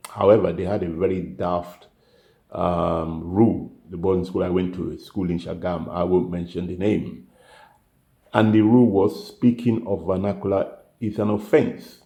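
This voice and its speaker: A man's voice: deep voice, little pitch movement, normal speed, vocal fry, dark tone, roomy sound. An older man, a retiree vlogger, chatting casually to camera, rambling and correcting himself as he goes. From United Kingdom.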